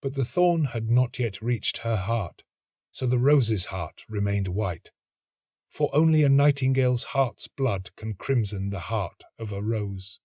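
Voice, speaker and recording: A man reading a literary story as an actor would, in a measured, dramatic and expressive narrating style. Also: medium pitch, no room sound, clean studio sound.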